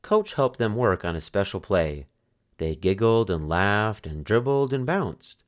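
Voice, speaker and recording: A man reading a children's picture book aloud as narrator, in expressive storytelling style. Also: fairly low pitch, measured pace.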